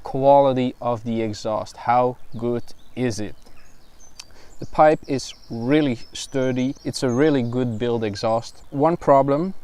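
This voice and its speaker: A man speaking as a casual vlogger in a hands-on review, a little halting as he thinks aloud. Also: mid-range voice, a little mellow, smooth. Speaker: vlogger reviewing a motorcycle exhaust.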